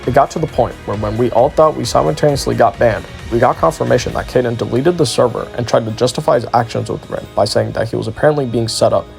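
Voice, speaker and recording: A man reads a scripted exposé as a video narrator. He moves quickly through his sentences with a creaky, fried edge to his voice, and soft music plays underneath. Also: mid-range voice.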